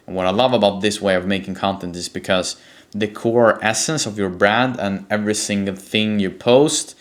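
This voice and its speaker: Swedish guy, low voice, swedish accent, coach explaining stuff casually to viewers. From Sweden. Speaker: presenter, personal branding coach for real estate agents. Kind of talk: explanatory talk to camera.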